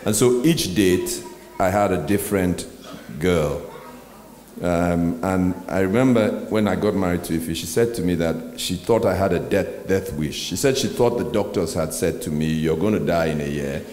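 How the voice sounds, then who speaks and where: A pastor with a very low voice answers onstage questions thoughtfully. He pauses often with ums, and his voice rings through a large hall with a long echo. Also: darkish tone. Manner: reflective, with frequent ums and pauses. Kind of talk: onstage interview. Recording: echoey hall with faint noise.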